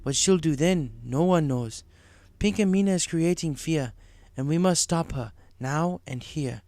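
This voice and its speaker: A man voicing a wise female zebra mystic who speaks gravely in formal, inverted phrases. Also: a little high, unhurried, darkish tone, no room sound, voice pushed up.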